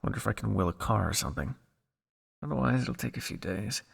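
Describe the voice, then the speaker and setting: A man playing a weary, guilt-ridden old vampire scientist in an ASMR roleplay, speaking to the listener in a measured, dryly formal way. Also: lowish voice, creaky, long reverb tail. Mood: urgent, firm.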